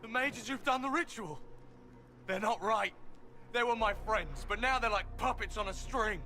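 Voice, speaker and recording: An uneasy male soldier from a fantasy game. His voice is high, tense and fearful, with faint background ambience. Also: moderate pace, bright, forceful tone, a little hiss or hum. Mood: uneasy dismay. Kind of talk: dramatic fantasy video game acting.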